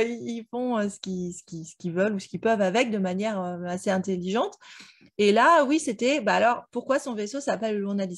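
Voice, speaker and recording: A woman co-hosting a book club podcast in casual, informal conversation. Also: medium pitch. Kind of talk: casual book discussion chat.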